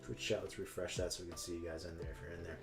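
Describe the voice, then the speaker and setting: A man's voice: sits low, little pitch movement, racing, music in the background. A man streaming a card game, chatting casually and joking with his opponent. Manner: joking, upbeat, laughs often. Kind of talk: casual chat while streaming.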